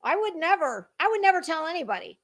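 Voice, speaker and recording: A woman with a high-pitched voice delivers a casual, dramatic monologue in the manner of an online commentator. Her phrasing is halting and emphatic, with deliberate pauses and words repeated as she goes. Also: sing-song.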